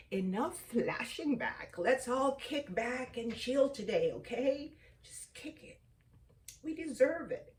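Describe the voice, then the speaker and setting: Unhurried, mellow-voiced woman in her fifties. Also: a little high, distant mic, slight background noise. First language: Tagalog. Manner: chatty, drops into whispered asides. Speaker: vlog host. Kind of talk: casual chat.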